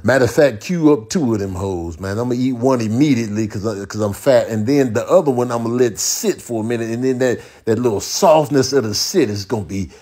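A male comedian giving a comedic rant in slangy, emphatic, deadpan exasperation. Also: medium pitch. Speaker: comedian commentator.

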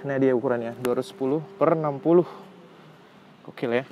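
Outdoor recording with some background noise of a young man reviewing a motorcycle on a phone camera. His pitch sits in the upper range for a man, and he talks casually, with slang and exclamations. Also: fast talker, lo-fi sound. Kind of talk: casual hands-on vlog review. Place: outdoors on a residential street.

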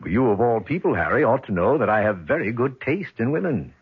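Sardonic boss, American, swooping delivery, husky, vintage radio drama. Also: male voice, mid-range voice, sing-song, fairly quick, exaggerated voice. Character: arrogant, dismissive businessman, male, sardonic and suspicious. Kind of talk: 1970s radio drama acting. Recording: dull vintage radio recording.

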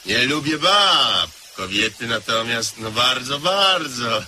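Curious young nephew, lively pitch, distant, hissy recording. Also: male voice, takes it slowly, full character voice. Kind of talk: radio drama acting.

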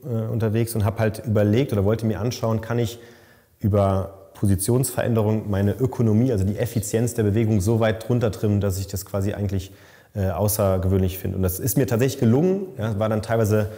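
A man's voice: lowish voice, quick pace, warm tone. A man working as a bike fitter, explaining thoughtfully in a lab, with stumbles and repeated words. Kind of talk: casual expert explanation. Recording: long reverb in a lab room.

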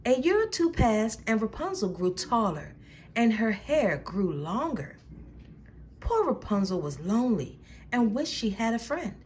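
A woman reads a fairy tale to children in a highish, very animated voice. Her phrasing is deliberate and dramatic, and there is faint noise in the recording. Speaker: storyteller. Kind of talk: expressive children's storybook read-aloud.

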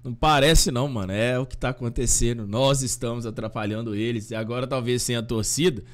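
A Brazilian man in his thirties hosting a fan channel, reacting casually to listeners' voice messages in a slangy, animated way. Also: mid-range voice, a little fast. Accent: Brazilian Portuguese. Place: small home studio with fan decor. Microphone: studio mic on boom arm.

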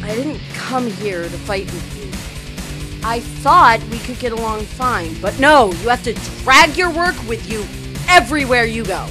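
A woman's voice: a little high, animated, measured pace, over music. A woman playing a cynical, emotional teenage schoolgirl in an amateur online radio drama. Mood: angry, hurt. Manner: emotional, indignant, emphatic. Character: cynical, defiant teenage schoolgirl, secretly a model.

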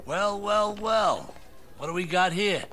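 A man's voice: high-pitched, slow, bright, forceful tone, soft background music, heavily acted. A man playing an aging, cocky mafia boss in a video game cutscene. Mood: cocky, probing.

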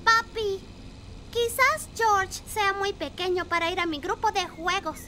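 A woman's voice: very high voice, unhurried, bright, forceful tone, slight background noise, exaggerated voice. A woman voicing a cheerful, inquisitive little girl pig in a children's cartoon, eager and childlike. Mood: reluctant. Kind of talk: children's cartoon dub acting.

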